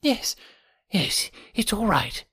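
A man voicing a nervous, frightened gentleman in an audiobook, with a reedy, quivering voice and a fussily polite, agitated manner. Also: high-pitched, big pitch swings, slow pace, slightly rough, no echo, clean studio sound, voice pushed up, full character voice. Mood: relieved, apologetic. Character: nervous, jittery, very fat sickly middle-aged gentleman, frightened. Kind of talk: audiobook character voice.

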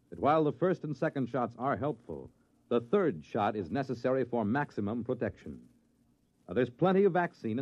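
A man announcing on 1950s radio, reading a scripted message in a slightly husky voice. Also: medium pitch, dry recording. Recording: dull, dry vintage radio recording.

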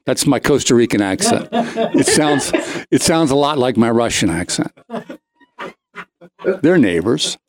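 A man's voice: medium pitch, sing-song, measured pace, long pauses. A man hosting a talk show, telling a comic anecdote in a casual, rambling way, cutting himself off and acting out the dialogue. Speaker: show host.

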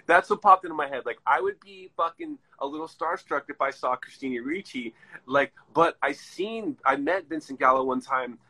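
A man in his forties chats casually as a podcast host, drifting from one story to the next and swearing freely. His voice is bright and forceful, with a husky edge. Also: a little high, big pitch swings, normal speed, low-quality recording. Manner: rambling, profanity-laced storytelling. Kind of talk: casual chat.

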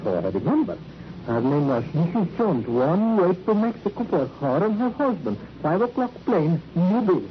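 Animated, emphatic Spanish-accented travel agent. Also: male voice, high-pitched. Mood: insistent. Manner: emphatic, protesting, halting foreign phrasing. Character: indignant, excitable male Latin American travel agent. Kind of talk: classic radio crime drama acting. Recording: dull old radio recording, faint noise.

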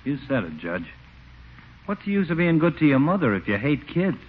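A man's voice: on the high side, big pitch swings, moderate pace. An actor playing a wisecracking, sociable small-town man in an old radio comedy, folksy and wry. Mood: needling. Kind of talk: 1940s radio sitcom acting. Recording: muffled, noisy old radio recording.

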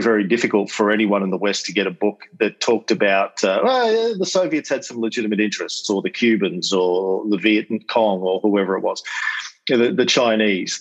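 Australian male host, casual lecture with filler and dry asides, middle pitch, slightly dull call audio. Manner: chatty, lots of ums and uhs, wry asides. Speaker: podcast host giving a school lecture. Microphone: video call.

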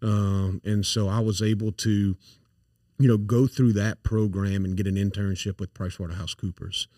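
A man's voice: fairly low pitch, level tone, normal speed, warm tone, dry recording. A man in his forties with a south Louisiana accent, a company founder talking casually as an interview guest, earnest and full of fillers and restarts. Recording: dry, a bit far from the mic.

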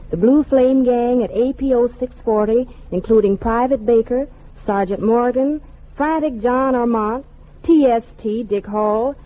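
A woman performing on a 1940s radio variety show. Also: a little high. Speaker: singer and guest performer. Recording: old lo-fi 1940s radio broadcast, music.

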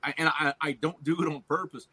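A man's voice: on the high side, steady tone, a little fast, pushed and bright, slightly rough, dry recording. A man from Louisiana with a Cajun accent, chatting casually about fishing and often repeating his words as he starts a sentence. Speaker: guest angler on a fishing livestream. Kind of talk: casual chat.

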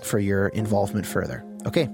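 A man's voice: fairly low pitch, lively intonation, creaky, over music. A man hosting a podcast, talking earnestly and carefully as he introduces the episode and interviews a guest. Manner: earnest, measured, explanatory. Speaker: podcast host.

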